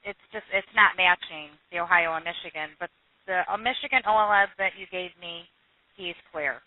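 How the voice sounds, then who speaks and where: A woman's voice: on the low side, a little fast, no room sound. Muffled woman dispatcher, clipped and businesslike. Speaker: police radio dispatcher. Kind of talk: terse procedural radio dispatch talk. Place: dispatch room, recorded over phone and radio lines. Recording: narrow muffled phone and radio line.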